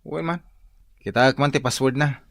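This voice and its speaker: A man's voice: medium pitch, normal speed, fairly bright tone, no room sound, studio quality, close to an everyday voice. A man acting a sly, evasive, jumpy philandering husband in Ilocano radio comedy-drama. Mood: flustered, evasive.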